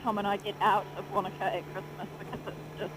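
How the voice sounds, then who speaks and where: A woman's voice: medium pitch, little pitch movement, fast, noisy background. A woman riding pillion on a motorbike, chatting in a bubbly way and finishing her partner's sentences. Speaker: vlogger, pillion passenger. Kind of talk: casual chat while riding. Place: outdoors on a moving motorbike. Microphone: action camera on the bike.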